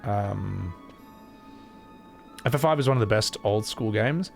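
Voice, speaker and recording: A man's voice: lowish voice, unhurried, creaky. A man streaming a game, chatting casually and joking between voiced scenes. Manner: animated, joking. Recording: faint game music behind.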